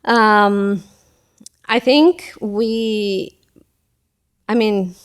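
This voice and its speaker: A middle-aged woman from Ecuador, talking as an interview guest in a thoughtful way with many pauses and fillers. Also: medium pitch, steady tone, clean tone. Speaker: guest, school event coordinator. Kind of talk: casual interview talk.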